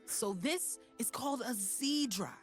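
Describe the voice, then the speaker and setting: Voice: mid-range voice, animated, measured pace, breathy edge, no room sound. A performer playing a cocky, friendly teenage boy inventor in a video game, breezy and teasing. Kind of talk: game character banter. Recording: game clip with music underneath.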